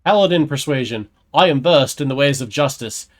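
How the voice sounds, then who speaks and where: A man's voice: medium pitch, expressive, brisk. A man streaming a game, mixing casual commentary with reading his character's dialogue aloud. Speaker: gaming streamer voicing his player character.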